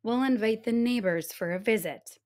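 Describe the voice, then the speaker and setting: A woman's voice: on the high side, normal speed, darkish tone, close to an everyday voice. A woman voicing a polite, long-suffering mother hare in a children's storybook, in a fretful, sighing manner. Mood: hopeful.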